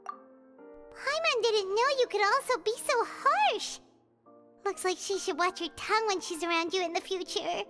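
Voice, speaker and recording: A woman's voice: very high-pitched, measured pace, pushed and bright, heavily acted. A woman voicing a tiny, childlike fairy companion in a video game, chatty and easily flustered. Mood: wary. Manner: speaks of herself in third person. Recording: music behind the voice. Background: game background music.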